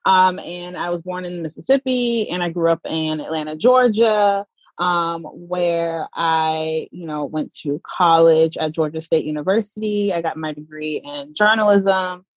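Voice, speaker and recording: A chatty woman in her thirties from the Southern United States, talking casually as a podcast guest, with plenty of fillers and light laughter. Her voice sits a bit low for a woman. It comes through dull and lo-fi, in a dry space with no room sound. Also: measured pace, no room sound. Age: 30s. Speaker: podcast guest, platform creator. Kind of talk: casual interview answers.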